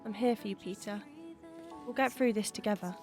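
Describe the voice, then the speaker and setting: A woman playing a gentle, sympathetic young friend who consoles, in an audio drama. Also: medium pitch, fairly quick, music in the background, close to an everyday voice. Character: Chloe, sympathetic, supportive young female friend.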